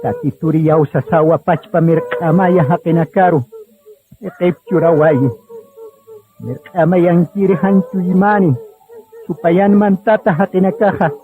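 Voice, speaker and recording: A man narrates a radio drama in a solemn, deliberate storytelling style. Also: sits high. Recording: tinny narrow-band radio sound with music. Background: music.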